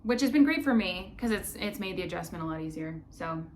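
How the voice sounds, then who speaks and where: A woman's voice: lowish voice, lively intonation, a little fast, a little hiss or hum. A woman co-hosting a travel vlog, chatting casually with lots of fillers and restarts. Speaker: vlog co-host. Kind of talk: casual chat.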